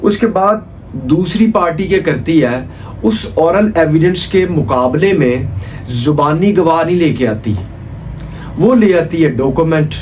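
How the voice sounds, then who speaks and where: Higher-pitched Pakistani man lecturing over noise. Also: animated, unhurried, darkish tone. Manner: didactic, repeats key points with frequent check phrases. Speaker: law lecturer. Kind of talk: informal explanatory exam lecture mixing Urdu with English legal terms.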